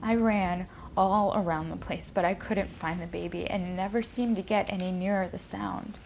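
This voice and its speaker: A woman's voice: mid-range voice, fairly even intonation, moderate pace, creaky, darkish tone, a little hiss or hum, natural voice. A woman playing an anxious, guilt-ridden daughter who recalls family secrets in a hesitant, intimate dramatic monologue. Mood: uneasy, haunted.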